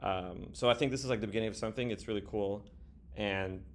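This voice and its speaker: A man in his thirties giving a casual, halting software demo as a developer, speaking informally. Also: on the low side. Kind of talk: casual screen-recorded demo. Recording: background room noise.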